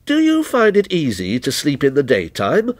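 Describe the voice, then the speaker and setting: A man's voice: a little high, wildly swooping pitch, normal speed, no echo. A man voicing a scornful, aloof stranger who asks probing, needling questions.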